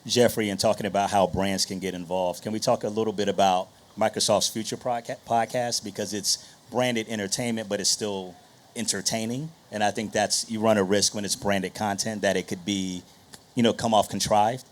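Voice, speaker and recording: A man's voice: on the low side, steady tone, normal speed. A man moderating a panel and putting questions to guests in a conversational style. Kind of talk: conversational interviewing.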